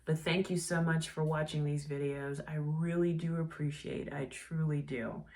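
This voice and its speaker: A woman with a stuffy, nasal voice from a cold, hosting a tea vlog in a gentle, savoring way and leading a guided breathing meditation. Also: low voice, fairly even intonation, moderate pace. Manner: gentle, savoring, softly enthusiastic. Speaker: tea and book channel host.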